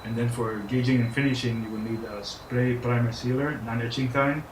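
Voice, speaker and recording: Monotone man with a put-on French accent. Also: mid-range voice, brisk. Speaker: tutorial presenter. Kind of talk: informal how-to tutorial. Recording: roomy echo, faint background noise.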